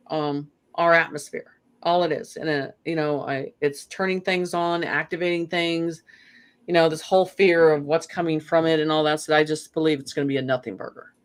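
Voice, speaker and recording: A guest in a casual conversation, rambling and animated, often saying "you know.". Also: mid-range voice. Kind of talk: casual chat.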